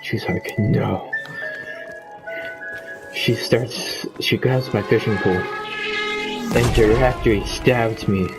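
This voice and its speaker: A man improvising a comic story for his friends in a halting, deadpan manner. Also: fairly low pitch. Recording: loud music behind. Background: loud music.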